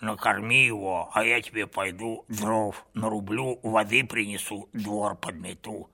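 A man voicing a coarse, lisping old male peasant with a bass voice. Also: steady tone, unhurried, gravelly, bright, forceful tone, full character voice. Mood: gruff.

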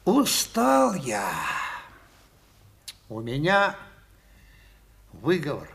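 Stage role: a worn-out construction chief, slightly high, drawn-out and weary. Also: male voice, huge pitch swings, creaky, a bit bright, exaggerated voice. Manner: slow, tired, drawn-out delivery. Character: weary middle-aged male construction chief, stubborn. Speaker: stage actor in an archive theatre scene.

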